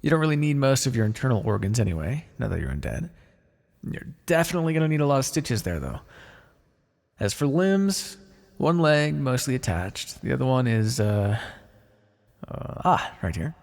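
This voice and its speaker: A man playing a blunt, callous, wryly businesslike necromancer in an ASMR fantasy roleplay, muttering in a deadpan, gravelly voice. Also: medium pitch, normal speed. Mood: wry, matter-of-fact. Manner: deadpan, muttering to himself in thought. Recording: long reverberant hall echo.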